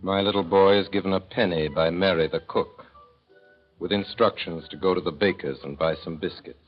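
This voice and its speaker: A man narrating as a gentle, thoughtful father in a 1930s radio drama, with measured, tender storytelling. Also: low-pitched, fairly even intonation, soft background music, natural voice. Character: gentle, thoughtful father telling of his son. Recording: dull old 1930s radio recording.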